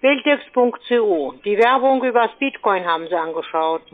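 Woman cold caller, kinda high voice, pushy sales talk, thin phone sound. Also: expressive, moderate pace. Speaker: cold-calling telephone sales agent. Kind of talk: sales pitch on a phone call. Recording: tinny, narrow-band phone line.

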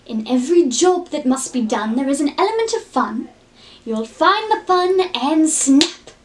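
A prim, mature British nanny with a high voice, as a woman impersonates her. She is cheery, proper and polite, straight out of a musical film, with a British accent. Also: animated, unhurried, background noise. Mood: singing. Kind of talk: musical film character impression.

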